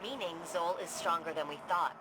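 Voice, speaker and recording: A woman's voice: lowish voice, moderate pace, natural voice. Woman playing a defiant engineer. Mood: focused. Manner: insistent, technical. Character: determined female engineer, defiant. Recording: game audio over loud background noise.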